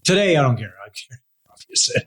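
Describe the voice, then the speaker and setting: An older man, hosting an interview in a casual, halting, loosely phrased way. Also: on the high side, very animated. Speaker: interview host. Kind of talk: casual chat.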